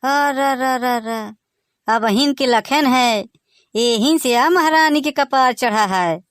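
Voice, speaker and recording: A man's voice: extremely high pitch, slow, a bit bright, no room sound, clean studio sound, full character voice. A man with a Bagheli accent voicing a joking rustic village husband who also narrates the cartoon. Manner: folksy, joking, exclaiming.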